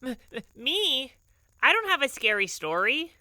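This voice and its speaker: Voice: high voice, sing-song, measured pace, pushed and bright, no echo, clean studio sound, heavily acted. An actor playing a timid, bumbling male camp counselor in a light-hearted audio drama, stammering and hesitant. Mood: nervous, stammering.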